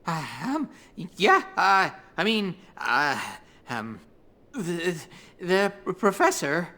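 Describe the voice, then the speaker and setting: A man's voice: high-pitched, wildly swooping pitch, extremely slow, pushed and bright, heavily acted. An actor playing a timid, flustered young male lab assistant with a hesitant manner in a video game cutscene. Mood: nervous, awkward. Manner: stammering, hesitant. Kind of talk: English dubbed video game cutscene acting.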